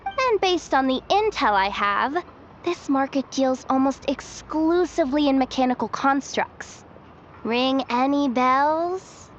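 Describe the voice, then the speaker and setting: High-voiced streetwise young informant. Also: female voice, expressive, slow pace, highs cut off, slight background noise. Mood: sly, confident. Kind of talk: video game voice acting. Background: game music.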